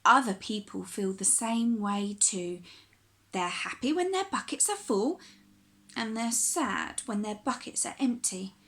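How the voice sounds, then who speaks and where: A woman reading a children's picture book aloud like a primary teacher, with expressive, deliberate phrasing for young listeners. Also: medium pitch, slight background noise.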